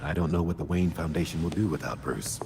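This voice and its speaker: A deep-voiced veteran cop. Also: male voice, fast. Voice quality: plainspoken. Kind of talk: game cutscene acting. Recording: background noise.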